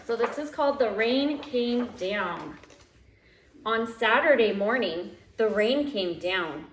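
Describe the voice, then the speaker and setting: Animated, high-voiced woman reading a storybook. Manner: expressive, dramatic drawn-out phrasing. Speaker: teacher reading aloud to young pupils. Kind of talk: animated children's storybook read-aloud. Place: living room at home. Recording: roomy, echoey home recording.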